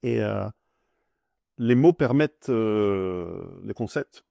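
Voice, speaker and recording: A man's voice: fairly low pitch, lively intonation, creaky, dark tone, dry recording. A man with an Italian accent whose first language is Italian, a guest in a discussion, speaking thoughtfully with frequent restarts. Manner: thoughtful, halting, many restarts and fillers. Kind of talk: conversational discussion.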